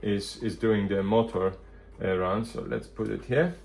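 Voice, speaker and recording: Low-pitched man giving a casual demo. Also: fairly even intonation, vocal fry, a little mellow. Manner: halting, loosely phrased, thinking aloud. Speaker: vintage hi-fi video presenter. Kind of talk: casual hands-on product demonstration.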